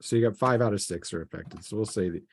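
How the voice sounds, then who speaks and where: A man acting as game master, explaining rules and turns in a casual, halting way. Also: on the low side, vocal fry. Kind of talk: casual tabletop game refereeing.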